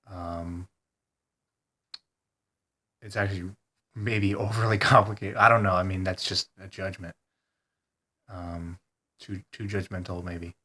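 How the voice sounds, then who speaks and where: A congested man talking hesitantly in a rambling personal video diary, full of pauses and ums. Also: low-pitched, sharp tone. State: congested, stuffed-up nose. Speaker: vlogger.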